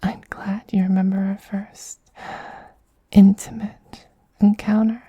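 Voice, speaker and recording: Guy doing a femboy vampire queen for asmr, super soft dark whisper, really breathy, cooing and possessive. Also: high-pitched, level tone, dry recording, muffled recording, heavily acted. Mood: indulgent, intimate. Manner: slow, tender, cooing, gently commanding. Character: doting, possessive, dominant femboy vampire queen soothing human pet. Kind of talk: soft-spoken ASMR roleplay.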